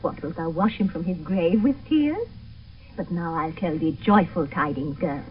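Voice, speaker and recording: A woman's voice: lowish voice, expressive, moderate pace, lightly acted. Actress as a formal noblewoman mother, slightly distant. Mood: brisk. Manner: formal, theatrical. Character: Lady Capulet, brisk mature noblewoman, Juliet's mother. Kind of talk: classical Shakespearean radio drama acting. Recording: muffled, distant, hissy old radio broadcast.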